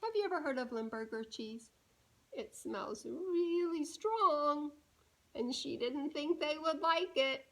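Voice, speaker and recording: A woman reading a storybook aloud to young children, animated and deliberate, pausing to put questions to her listeners. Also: high-pitched.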